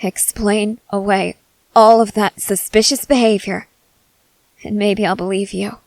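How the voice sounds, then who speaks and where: A woman playing a stern, suspicious young female soldier in a dramatic ASMR roleplay, speaking in terse, deliberate phrases with pauses. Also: mid-range voice, fairly even intonation, no room sound, lightly acted, rough recording. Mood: accusing. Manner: slow, deliberate, terse, intense delivery with pauses.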